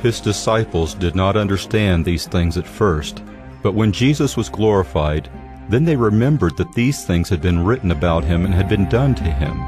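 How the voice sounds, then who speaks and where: Low-voiced man narrating scripture over music. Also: animated, moderate pace. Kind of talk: scripted audio drama Bible narration.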